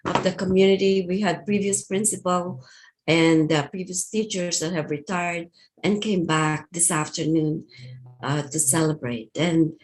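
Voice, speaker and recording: A woman's voice: on the low side, fairly even intonation, a little slow, a little mellow, slight background noise, rough recording. A woman school board trustee sharing good news in a meeting, friendly and slightly hesitant, with small restarts. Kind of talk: giving a report in a meeting.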